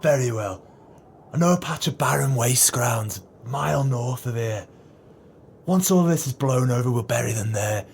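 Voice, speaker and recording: An actor in an audio play voicing a callous, arrogant swindler and killer. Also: male voice, mid-range voice, expressive, moderate pace, gravelly, darkish tone, a little hiss or hum. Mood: grudging. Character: callous, smug swindler and murderer, businessman. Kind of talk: audio drama acting.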